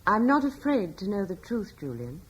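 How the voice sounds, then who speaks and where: An actress playing Serena, a gentle middle-aged noblewoman and mother in a radio drama, speaking softly and earnestly. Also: mid-range voice, very animated, normal speed, a little hiss or hum, close to an everyday voice, rough recording. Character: gentle older noblewoman, mother, anxious but steady. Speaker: Serena, lady of a British estate.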